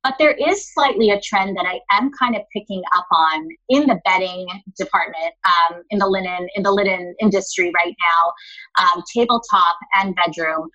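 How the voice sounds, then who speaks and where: A woman's voice: fairly low pitch, moderate pace, pushed and bright, muffled recording. A woman who runs a linen store, chatting in a podcast interview and often calling the listener honey. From Los Angeles area.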